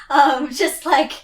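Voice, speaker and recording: A woman with a high voice casually describes her character's actions in a tabletop game. She speaks slowly, in an echoey room a little away from the mic. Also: recorded from a distance.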